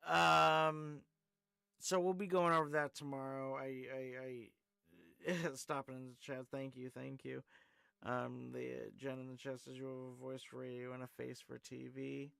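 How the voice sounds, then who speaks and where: Gravelly-voiced man hosting a live show. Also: medium pitch, little pitch movement, a little slow, bright, forceful tone, no echo, studio quality. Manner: rambling, filler-heavy, reads chat aloud. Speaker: call-in show host. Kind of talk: casual live-stream chat.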